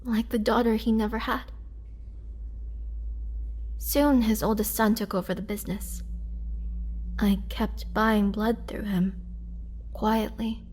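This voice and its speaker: A woman playing a gentle, sorrowful, youthful-looking but centuries-old imprisoned vampire, telling her story in a hushed, halting way for a dramatic roleplay. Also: mid-range voice, lightly acted. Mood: mournful.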